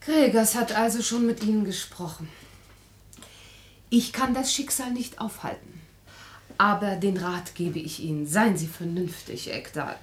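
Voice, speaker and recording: A woman's voice: medium pitch, unhurried, natural voice. An actress playing a composed, practical middle-aged woman, speaking formally and articulately in a 1950s radio play. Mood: cautioning. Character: composed, frank, practical woman about to remarry. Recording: roomy old radio studio sound.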